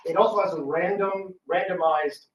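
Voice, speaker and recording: A man's voice: high-pitched, moderate pace. A man co-presenting a casual talk as a theatre writer, chipping in with droll remarks. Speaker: radio theatre writer giving a public talk. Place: indoor public talk room. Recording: echoey room, distant mic, faint noise.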